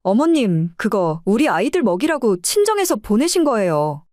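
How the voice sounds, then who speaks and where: Woman acting a fed-up wife, animated pitch. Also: normal speed, no room sound, clean studio sound. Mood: firm, exasperated. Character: fed-up, assertive wife and mother confronting her family. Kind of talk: audio drama dialogue.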